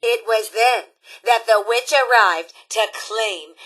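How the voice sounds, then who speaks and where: Chirpy robot camp leader, video game, bright n a bit husky, super dramatic spooky storyteller, prim. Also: female voice, on the high side, slow, heavily acted. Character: cheery robot scout leader telling spooky campfire tales. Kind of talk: theatrical campfire storytelling.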